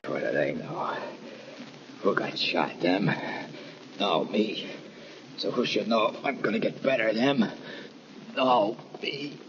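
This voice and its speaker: An actor playing a wounded, sarcastic gangster in an old radio drama, tough, dismissive and wisecracking. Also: male voice, lowish voice, slow, long pauses. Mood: defiant. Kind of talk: 1940s radio crime drama acting. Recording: noisy, muffled old radio recording.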